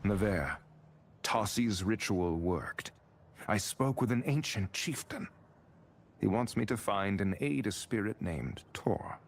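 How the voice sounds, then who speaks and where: A lone warrior hero in a fantasy game. He sounds grim and determined, very low and creaky, speaking tersely over faint background noise. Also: lively intonation, slow pace. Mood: earnest, reporting. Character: grim, resolute male wandering warrior hero. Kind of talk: scripted fantasy game voice acting.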